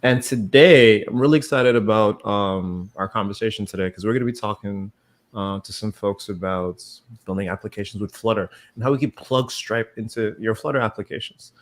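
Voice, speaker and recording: A man's voice: lowish voice, normal speed. A man hosting a casual developer livestream, upbeat and welcoming as he introduces his guests. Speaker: livestream host, developer advocate.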